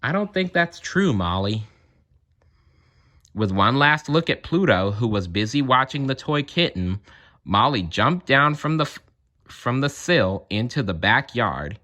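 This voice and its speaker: A man reading a children's story aloud in an expressive narrating style. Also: medium pitch, unhurried. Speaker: storybook reader.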